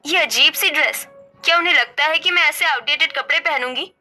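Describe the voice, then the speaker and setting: Woman acting snobbish young sister-in-law, high sharp voice, disdainful, boastful, story drama, light music behind. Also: fairly quick. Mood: scornful. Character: haughty rich young sister-in-law, fashion snob. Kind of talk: Hindi moral family story dialogue.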